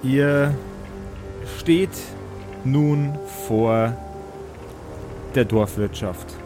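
A man acts as game master for a fantasy tabletop game, narrating scenes and calling for checks. His voice is mid-pitched and his delivery unhurried. Music plays clearly behind him.

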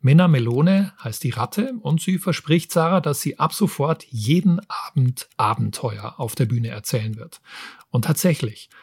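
Man, book show host, husky voice, dry close recording, medium pitch, narrated review style. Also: animated, moderate pace, a little mellow, no room sound.

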